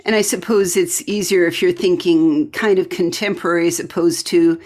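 A woman's voice: on the low side, steady tone, moderate pace, a little mellow. A woman interviewing a guest in a casual, conversational way on a writing podcast. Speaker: podcast interviewer and fiction writer.

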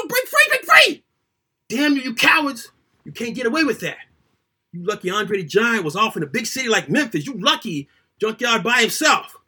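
A man performs a first-person story aloud in a high, husky voice. His pitch swings widely as he tells it. The delivery is conversational and colloquial, with a dramatic storyteller's animation. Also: bright, forceful tone.

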